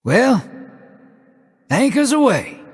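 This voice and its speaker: An actor playing a wry, easygoing outlaw ally in a video game. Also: male voice, very high-pitched, big pitch swings, slow, husky, exaggerated voice. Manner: wry, drawling. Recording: long hall reverb.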